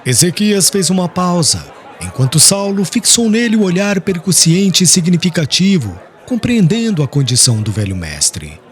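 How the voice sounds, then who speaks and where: A man narrating an audiobook novel in a solemn, literary, dramatic reading style with carefully measured phrasing. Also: sits high, sing-song, a faint crowd.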